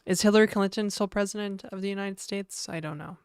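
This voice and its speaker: A Canadian woman streaming live, chatting casually and jokingly with her viewers in a somewhat hoarse voice. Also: steady tone, fast, darkish tone, dry recording, studio quality. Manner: rambling, joking, slips into mock French and a put-on high e-girl voice.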